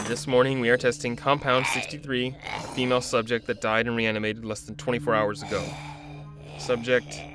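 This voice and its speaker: An actor playing a driven, commanding research doctor in an audio drama, with a clinical way of talking. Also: male voice, medium pitch, lightly acted. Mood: clinical, businesslike.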